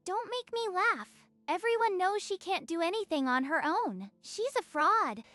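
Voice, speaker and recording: A woman's voice: extremely high pitch, lively intonation, a little slow, sharp tone, dry recording, heavily acted. A woman playing a smug, accusing teenage mean girl in an anime-style manga dub. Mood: scornful.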